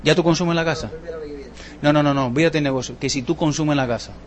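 A high-voiced man with a Cuban accent presents a business seminar. He talks in an emphatic, folksy way, repeating key points and throwing rhetorical questions at his audience. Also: fast. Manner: emphatic, colloquial, repetitive, full of rhetorical questions. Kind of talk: motivational sales talk to an audience.

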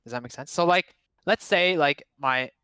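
A man's voice: on the high side, moderate pace, sharp tone, clear, resonant tone, no room sound. A man in his late twenties from Canada, a Cantonese speaker, telling rambling, profane, self-mocking stories in a casual chat. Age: 20s. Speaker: guest.

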